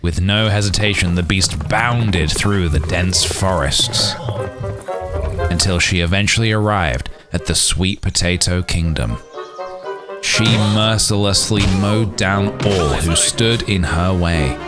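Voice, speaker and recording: A man's voice: deep voice, slow, music in the background. A man reading a scripted storybook fairy tale in a dramatic, grandiose storytelling manner.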